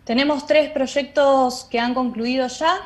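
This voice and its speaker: A woman's voice: sits high, fairly even intonation, unhurried. A woman with an Argentine accent explaining things as a guest in an interview. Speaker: interview guest, theatre director and drama teacher.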